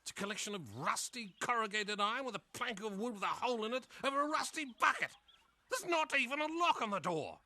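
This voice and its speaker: An actor playing a prim, fussy bank official who speaks formally and precisely in a film comedy-drama. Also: male voice, high voice, expressive, fast, sharp tone, exaggerated voice. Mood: appalled.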